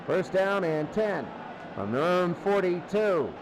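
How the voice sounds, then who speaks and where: A man calls a football game live. He speaks in short, clipped phrases, often repeating the down and distance. The broadcast has clear noise behind him. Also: sits high, expressive, slow. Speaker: play-by-play sports announcer. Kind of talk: live American football commentary.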